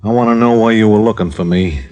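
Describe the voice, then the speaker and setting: An actor playing a menacing gangster in hiding, curt and threatening, in a 1950s radio drama. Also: male voice, on the low side, fast talker, darkish tone, natural voice.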